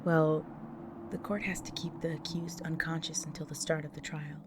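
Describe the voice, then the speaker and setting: A woman playing a grave, devoted vampire wife in an intimate audio roleplay, speaking solemnly to the listener in an often breathy, whispered delivery. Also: low-pitched, little pitch movement, moderate pace, background noise, natural voice. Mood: pleading, earnest.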